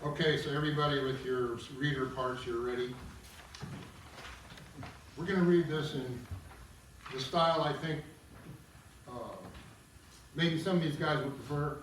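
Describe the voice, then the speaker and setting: A man informally introducing and narrating a live table read, explaining as he goes with some halting. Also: on the high side, steady tone, a little hiss or hum. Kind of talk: live introduction and reading of stage directions. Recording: echoey room, far mic, guitar behind. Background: guitar music.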